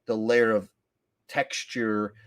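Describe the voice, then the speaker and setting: A man's voice: on the low side, little pitch movement, slow, smooth. A man hosting a casual film podcast, explaining his points in a conversational way. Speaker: podcast host.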